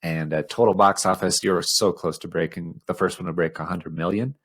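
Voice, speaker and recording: A man's voice: low-pitched, steady tone, fairly quick, a bit bright. A man co-hosting a podcast in casual, informal conversation, with a self-mocking, sweary way of talking. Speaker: podcast co-host. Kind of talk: casual chat.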